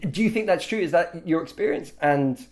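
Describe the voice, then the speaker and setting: A man's voice: a little high, expressive, brisk. A man who hosts a casual conversation and reads out listener questions. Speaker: host.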